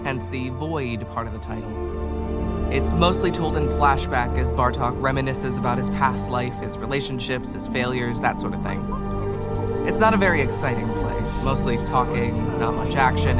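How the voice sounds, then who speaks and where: A man's voice: medium pitch, lightly acted. Man voicing a wry, easygoing orc, music behind. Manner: wry, hesitant, understated. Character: laid-back, self-deprecating male orc writer and reluctant adventurer. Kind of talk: casual video game companion dialogue.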